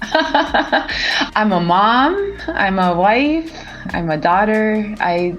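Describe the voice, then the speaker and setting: A woman's voice: mid-range voice, very animated, extremely slow, fairly bright tone, dull audio, soft background music. A woman with a Californian American accent, answering interview questions conversationally over a remote call. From San Francisco Bay Area, United States. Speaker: interview guest, startup co-founder and CEO. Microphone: remote video call.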